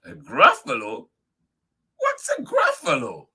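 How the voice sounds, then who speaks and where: A man voicing a sly, hungry male fox in a picture book, sweet-talking in a raised, lively voice. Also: mid-range voice, slow, slightly rough, full character voice. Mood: startled.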